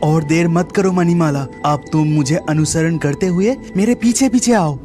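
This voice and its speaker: A woman's voice: low-pitched, normal speed, dark tone, lightly acted. A woman voicing an earnest, urgent young merman prince in a cartoon. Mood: urgent, reassuring. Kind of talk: cartoon character acting. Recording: music behind the voice.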